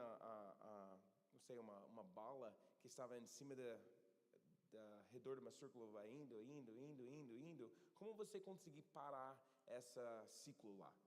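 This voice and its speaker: Male pastor, mid-pitched, preaching haltingly in a reverberant church. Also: darkish tone. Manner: halting, searching for words, self-correcting. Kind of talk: sermon with scripture reading. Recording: long church hall reverb.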